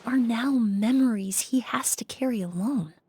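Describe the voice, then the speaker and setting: A composed young investigator who talks in a measured, analytical way. Her voice sits a little high. It is a video game performance. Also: dark tone, slightly rough, dry recording.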